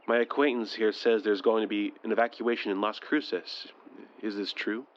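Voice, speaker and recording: A man's voice: lowish voice, fairly even intonation, moderate pace, muffled recording, natural voice. A man playing a polite, doubtful civilian survivor in an audio drama, with a formal, wry manner. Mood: shaken, sombre.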